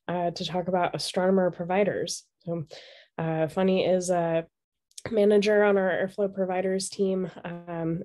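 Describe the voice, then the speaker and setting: A woman's voice: fairly low pitch, a little mellow. A woman hosting a webinar over a video call who is losing her voice, running through housekeeping with many ums. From Seattle. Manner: casual, many filler ums. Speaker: webinar host. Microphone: video call microphone.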